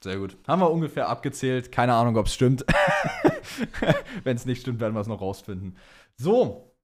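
A man's voice: medium pitch, wildly swooping pitch, a little slow. Man chatting casually as podcast co-host. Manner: mixes English words and phrases into German. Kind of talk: casual chat about motor racing.

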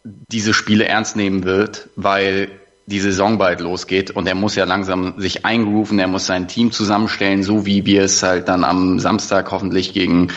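A man talking earnestly as a podcast guest over a dull remote line from a room with high ceilings. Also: little pitch movement, moderate pace. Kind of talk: casual chat.

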